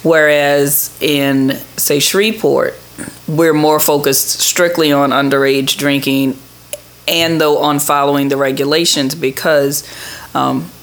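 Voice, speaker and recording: Gravelly middle-aged woman answering questions. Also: sits low, steady tone, slow pace. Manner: explanatory, plain-spoken. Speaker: government official, interview guest. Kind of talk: conversational interview answers.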